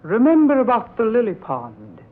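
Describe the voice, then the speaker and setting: An actress playing a cold, controlling aunt in an old-time radio drama, measured, grudging and ominously polite. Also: female voice, fairly high pitch, wildly swooping pitch. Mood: veiled warning. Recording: tinny, noisy old radio recording.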